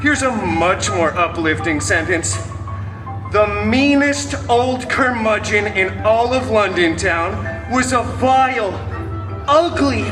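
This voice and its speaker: A man's voice: high voice, slow. A man playing a snarky masked antihero who hosts a live storytime show, joking sarcastically at the audience. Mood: sarcastic. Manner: sarcastic, theatrical, fourth-wall jokes. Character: wisecracking masked male antihero, snarky and hammy. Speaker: live stage show performer. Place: outdoor theme park stage. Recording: distant mic, roomy, music behind.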